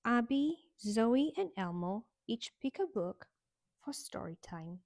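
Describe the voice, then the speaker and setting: A woman reading a children's storybook aloud with expressive, sing-song delivery aimed at young children. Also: a little high, takes it slowly. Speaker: storybook reader.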